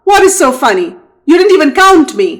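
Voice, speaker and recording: A woman's voice: lowish voice, sing-song, measured pace, a little mellow, a little hiss or hum, voice pushed down. A woman voicing an alligator in a folktale, insistent and nagging. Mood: indignant. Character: grumpy alligator in the swamp, easily fooled.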